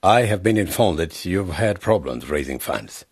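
An actor playing a vain, pompous member of parliament who insists on being called honorable, in a radio drama. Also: male voice, sits low, expressive, moderate pace, slightly rough, no echo, studio quality. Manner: pompous, insists on his title. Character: vain smooth-talking male politician, middle-aged.

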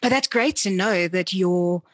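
A woman podcast host with a slightly low, husky voice, recorded close and dry. She talks in a conversational, deliberate way, often restating a phrase as she builds a question or comment. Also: dry recording. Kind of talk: conversational interview.

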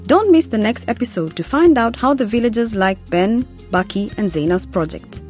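A woman's voice: on the high side, lively intonation, normal speed, darkish tone. A woman reading a scripted outro as the announcer of a radio series. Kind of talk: scripted narration, programme outro. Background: music.

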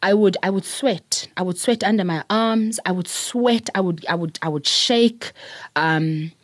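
A middle-aged South African woman, a public speaker, telling her story in an interview in an earnest, reflective way, with soft laughs. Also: mid-range voice, unhurried, no echo, clean studio sound. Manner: earnest, repeats words, laughs softly while telling stories.

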